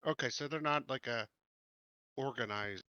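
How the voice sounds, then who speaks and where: A man talks as a player in a tabletop game, in casual questioning table talk. His voice is bright and middle-pitched. The sound is dry and a little dull. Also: moderate pace, no room sound.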